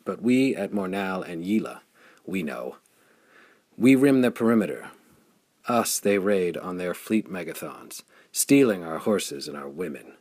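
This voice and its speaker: A man's voice: low voice, animated, a little slow, a little mellow, no echo, full character voice. A man voicing a grave, bitter commander in an audiobook, speaking in formal, emphatic declamation. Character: grave, bitter male commander warning of raiders.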